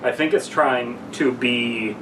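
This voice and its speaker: Young man, average pitch, joking casual podcast reader, roomy echo, far from mic. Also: slow. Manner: joking, crude. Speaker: podcast co-host. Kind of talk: casual banter and story read-aloud. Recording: echoey room, distant mic, noisy.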